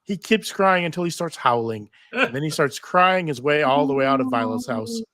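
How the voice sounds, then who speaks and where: Bright-voiced man narrating scenes. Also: on the high side, expressive, normal speed, slight background noise. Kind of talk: reading stage directions at live table read.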